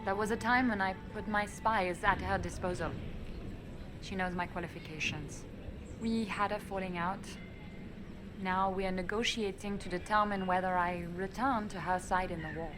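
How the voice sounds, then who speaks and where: An actress playing an elven spymaster in a video game, guarded and coolly defiant, speaking in a measured, dry way. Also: female voice, medium pitch, fairly even intonation, noisy background, lightly acted. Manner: measured, matter-of-fact, dry. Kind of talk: video game dialogue acting. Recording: music behind the voice. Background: game music.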